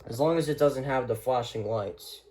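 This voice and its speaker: A man talking casually and off the cuff as a vlogger showing his collection at home, in a low-key, offhand way. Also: normal speed, darkish tone. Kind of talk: casual unscripted show-and-tell. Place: indoors, rooms at home.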